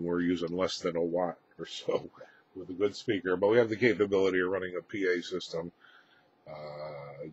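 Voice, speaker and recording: A man giving an unscripted technical walkthrough as a presenter, in a halting, matter-of-fact way with a husky voice. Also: low-pitched, a little mellow. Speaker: presenter demonstrating his radio equipment. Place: indoor room with equipment rack. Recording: roomy, slightly muffled home-video sound.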